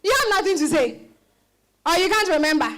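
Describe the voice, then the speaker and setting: A woman playing a sharp-tongued old grandmother with a Ghanaian accent in a dramatized reading, scolding imperiously with many interjections. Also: very high voice, expressive, fairly quick. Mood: scolding, exasperated.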